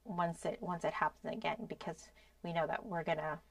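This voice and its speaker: A woman's voice: low voice, flat delivery, fairly quick, no room sound, far from the mic. A middle-aged American woman explaining things earnestly and a little hesitantly in a conversational podcast discussion. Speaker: podcast co-host explaining a grief program. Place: small indoor podcast studio. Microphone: podcast microphone on boom arm.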